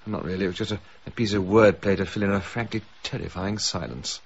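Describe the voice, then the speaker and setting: An actor playing a wry, awkward English gentleman in a radio drama, polite and hesitant with dry wit. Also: low-pitched, fast talker, gravelly, lo-fi sound, a little hiss or hum. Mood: awkward, hopeful. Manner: dryly witty, hesitant, polite.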